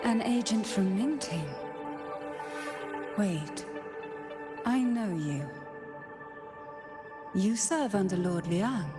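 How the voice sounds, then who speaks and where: A woman playing a composed, perceptive, courtly lady in a video game, with a formal and deliberate manner. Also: mid-range voice, lively intonation, over music. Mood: composed, knowing. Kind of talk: scripted video game acting.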